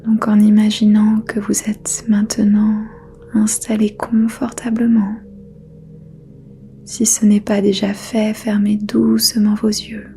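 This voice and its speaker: A woman whispering a guided yoga nidra meditation as its teacher, soft and unhurried throughout. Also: medium pitch, monotone, gravelly, slight background noise.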